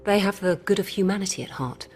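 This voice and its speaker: A woman playing a calm, rational robot hybrid in human guise, speaking in measured, formal, didactic lines in a radio drama. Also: mid-range voice, slightly rough. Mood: cool, composed. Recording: music behind.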